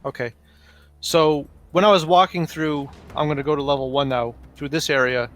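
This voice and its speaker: A man's voice: fairly high pitch, steady tone, normal speed, a bit bright, soft background music. A man talking casually as a player in a tabletop roleplaying game.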